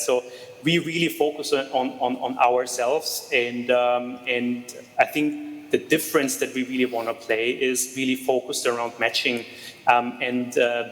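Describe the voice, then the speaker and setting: A man's voice: medium pitch, in a large hall, low-quality recording. A man from Austria, a startup co-founder, answering interview questions over a video call, with frequent fillers and restarts. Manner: hesitant, many fillers and restarts. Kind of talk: conversational remote interview.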